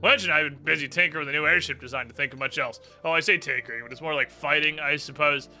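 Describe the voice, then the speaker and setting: A man voicing a brash, pushy, sweary male airship engineer who blusters and demands loudly. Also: a little high, very fast, faint music behind. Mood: blustering.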